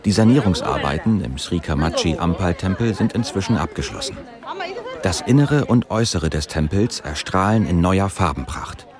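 A man reading scripted narration for a radio documentary. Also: sits low.

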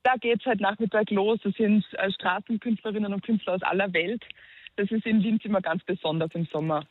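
A young woman from Upper Austria with an Upper Austrian accent, a musician talking in a phone interview, pausing thoughtfully to find her words. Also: mid-range voice, steady tone, dry recording. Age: young adult. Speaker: musician guest. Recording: dry tinny phone line.

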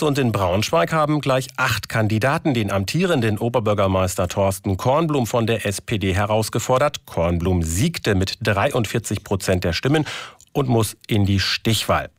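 A man reading regional radio news in a measured, formal studio delivery. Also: fairly low pitch, animated, no echo.

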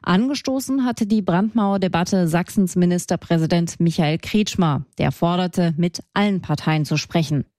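Lowish-voiced female correspondent, dry sound. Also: moderate pace, hardly any pauses, warm tone, no echo. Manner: formal, factual. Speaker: radio news correspondent. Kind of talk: scripted news report.

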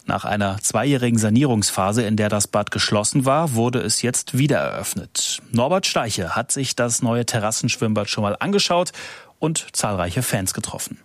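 A man presenting the night news on the radio, reading reports and a traffic warning in a calm, measured way. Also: on the low side, no echo. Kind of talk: read news and traffic bulletins. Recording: dry studio sound.

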